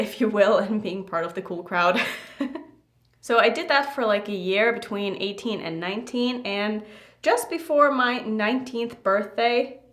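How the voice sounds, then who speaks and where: A woman's voice: medium pitch, a bit bright, echoey room. A woman from Norway telling a casual, chatty story to her viewers in an informal, rambling way with frequent asides. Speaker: makeup vlogger.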